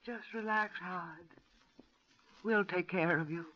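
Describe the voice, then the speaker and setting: A woman's voice: on the low side, unhurried, close to an everyday voice. A woman playing a frightened older employer in a 1940s radio drama, with a steely voice. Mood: anxious. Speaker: radio actress. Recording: muffled vintage radio broadcast.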